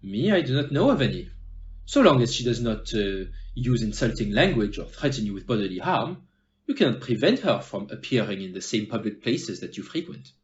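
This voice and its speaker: A man performs a shrewd, courteous detective in an audio drama. His speech is formal and pointed, with a lively, swinging melody. The recording is a little dull and muffled. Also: mid-range voice, close to an everyday voice. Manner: formal, measured, pointed phrasing. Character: polite, shrewd, blunt male Belgian detective. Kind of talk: amateur audio play acting.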